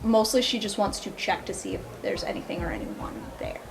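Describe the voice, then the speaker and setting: A woman describes her character's moves at a tabletop game in a chatty, slightly hesitant way. Her pitch is middling. Music runs clearly in the background. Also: fairly quick. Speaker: player. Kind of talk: casual tabletop play.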